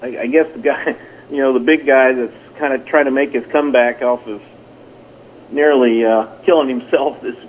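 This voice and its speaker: A man speaks over a narrow, tinny telephone connection with faint noise. He is a coach being interviewed casually, and he talks in a plain, folksy way at an average pitch. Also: steady tone, moderate pace. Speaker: high school track and field coach. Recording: tinny phone line with noise.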